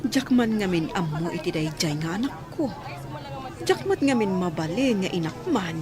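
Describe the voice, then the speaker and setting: A woman voices a middle-aged mother in an audio drama, apologetic and ashamed. Her voice is slightly low and hesitant, with clear crowd sounds behind it. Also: very animated, moderate pace. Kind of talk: radio-style audio drama acting.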